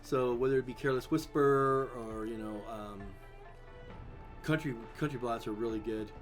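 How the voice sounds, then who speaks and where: A man's voice: medium pitch, moderate pace, music in the background. A middle-aged man chatting casually as a guest, rambling and easygoing, with his voice drying out. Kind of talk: casual chat.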